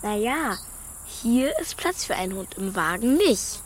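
A woman's voice: mid-range voice, sing-song, a little slow, slight background noise. A woman playing a frank, stubborn young schoolgirl in a naturalistic children's radio drama, speaking plainly. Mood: matter-of-fact.

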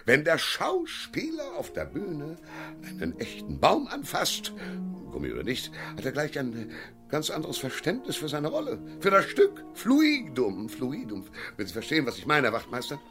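Swooping, pompous ageing actor-director. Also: male voice, on the high side, huge pitch swings, moderate pace, sharp tone, faint music behind, heavily acted. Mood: grandiose, rapturous. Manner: pompous, theatrical, rambling with dramatic emphasis. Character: vain, ageing actor-director, self-important and scornful. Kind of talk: radio play acting.

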